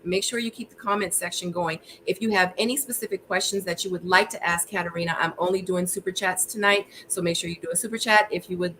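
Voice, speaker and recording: A woman's voice: fairly low pitch, fairly even intonation, fast talker, few pauses, pushed and bright, a little hiss or hum. A woman hosting a live streamed interview show, chatty and upbeat as she greets her chat. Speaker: livestream interview host. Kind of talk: casual livestream chat.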